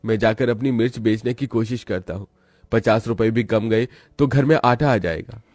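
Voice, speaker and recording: A man's voice: mid-range voice, normal speed, darkish tone, dry recording, clean studio sound, lightly acted. A man voicing a poor, earnest village farmer and family man in a cartoon story. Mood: worried.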